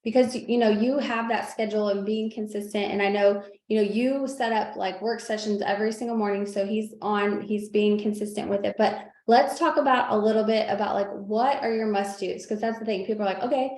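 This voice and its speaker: A woman's voice: medium pitch, fairly even intonation, brisk, hardly any pauses, darkish tone. Encouraging woman host, echoey room. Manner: encouraging, guiding questions. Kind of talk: coaching video call. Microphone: video call microphone. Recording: roomy echoey video call audio.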